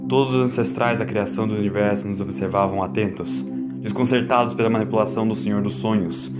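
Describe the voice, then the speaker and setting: Brief: male narrator for horror audiobook, solemn, dramatic, theatrical pacing with weighted pauses, clear music bed. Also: medium pitch. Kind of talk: dramatic scripted narration of cosmic horror fiction. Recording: loud music under the narration.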